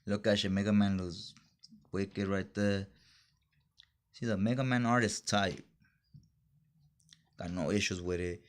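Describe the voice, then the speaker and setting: A man's voice: on the low side, fairly even intonation, measured pace, pauses often. Laid-back talking man, casual vlog reviewer. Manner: slangy, informal fan talk. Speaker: comic book haul reviewer.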